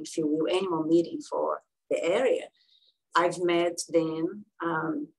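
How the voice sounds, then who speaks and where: A woman in her sixties from Brazil with a Brazilian Portuguese accent, telling her career story as an interview guest, with frequent pauses and fillers. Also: fairly low pitch, rough recording. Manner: halting, many fillers and restarts. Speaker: guest physician-researcher.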